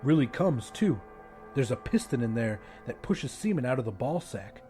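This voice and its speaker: A man voicing a gruff, laid-back, sardonic male smoker in an audiobook, with blunt casual talk. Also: big pitch swings, moderate pace, dark tone, faint music behind, natural voice. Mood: matter-of-fact. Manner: low-key, blunt, casual.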